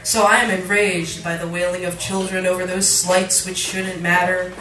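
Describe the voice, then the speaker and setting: A woman reciting poetry at a live reading, with dramatic, deliberate phrasing. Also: fairly low pitch, little pitch movement, sharp tone. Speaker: poet, featured reader. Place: literary cafe, indoors, live reading. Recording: echoey room recording from far off, audience noise. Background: cafe audience sounds.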